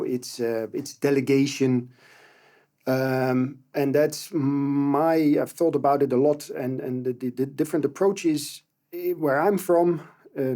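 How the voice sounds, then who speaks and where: A man's voice: medium pitch, dark tone. A man speaking in a casual panel conversation, reflective and hesitant, with many fillers and restarts.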